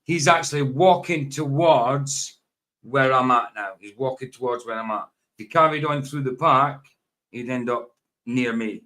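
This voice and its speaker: A man's voice: mid-range voice, normal speed, pushed and bright. Chatty Scottish man streaming live. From Scotland. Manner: chatty, repeats himself, drifts into tangents. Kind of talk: live stream commentary over a walking video.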